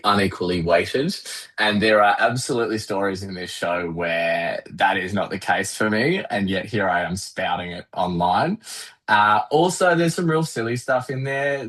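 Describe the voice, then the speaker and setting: Low-voiced Australian man, wry comedian. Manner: wry, self-deprecating, animated storyteller. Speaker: interview guest, comedian. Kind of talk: casual interview chat.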